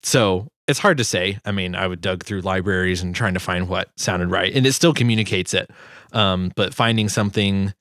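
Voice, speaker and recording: Young man, low voice, dry studio sound, casual tutorial walkthrough, self-deprecating. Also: moderate pace, fairly bright tone, no room sound. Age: 20s. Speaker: sound designer hosting a tutorial. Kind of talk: casual screen-share walkthrough. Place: small home studio room. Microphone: close boom-arm microphone.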